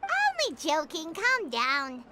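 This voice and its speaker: A woman voicing a small, perky, chatty fairy companion in a video game, with a squeaky childlike voice. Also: slow, heavy background noise, full character voice. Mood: playful. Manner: refers to self in third person, expressive. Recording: music behind the voice.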